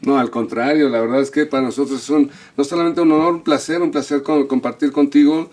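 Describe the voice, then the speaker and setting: A man's voice: mid-range voice, steady tone, brisk, a little mellow. Guy co-hosting a tarot show, average voice, rambles and repeats himself. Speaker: tarot channel co-host. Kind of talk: casual interview hosting.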